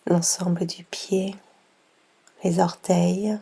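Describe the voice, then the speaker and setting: A woman leads a guided meditation very slowly, leaving long pauses between phrases. Her voice is soft and half-whispered, on the low side and mellow, with a lot of creak at the edges. She gives each instruction gently and without hurry. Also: level tone. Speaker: yoga nidra guide.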